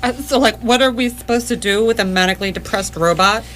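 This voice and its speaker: A woman's voice: a little high, fast, a bit bright, a little hiss or hum, close to an everyday voice. A woman playing an uneasy, practical space traveller, casual and questioning, in live radio theatre. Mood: casual, complaining.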